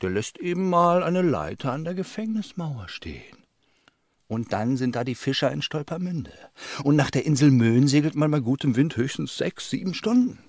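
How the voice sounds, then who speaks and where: A man's voice: fairly high pitch, big pitch swings, normal speed, a little mellow, no echo, studio quality. An actor playing a scheming, impatient man in a German radio drama, insistent, cajoling and commanding. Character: scheming, impatient man pushing an accomplice into a false confession.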